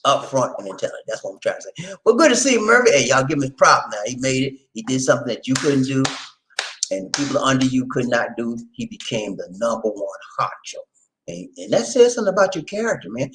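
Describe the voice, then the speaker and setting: A man with a Lowcountry Geechee accent hosts a livestream in a loose, chatty way. His pitch swings widely as he preaches and jokes with viewers. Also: slight background noise, low-quality recording. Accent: Gullah Geechee, South Carolina Lowcountry. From Charleston, South Carolina. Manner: lively, preachy, laughs often, talks to viewers as y'all. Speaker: livestream host. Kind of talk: casual livestream chat.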